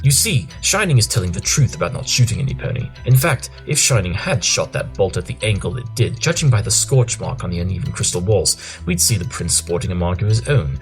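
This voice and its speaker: A man as a deep-voiced, pompous pony detective. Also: very animated, a little fast, few pauses. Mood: smug, assured. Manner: pompous, theatrical, eloquent. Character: brilliant, self-assured male pony detective. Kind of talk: fan audio drama acting. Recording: music under the voice.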